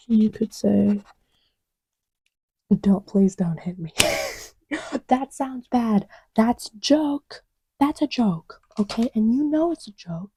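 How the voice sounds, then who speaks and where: A woman's voice: mid-range voice, dark tone, breathy edge, dry recording, rough recording. A woman chatting casually and intimately in a playful, rambling, teasing way, often dropping to a near-whisper, as if to a partner beside her. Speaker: audio roleplay creator playing a partner to the listener. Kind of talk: casual intimate roleplay chat while testing markers.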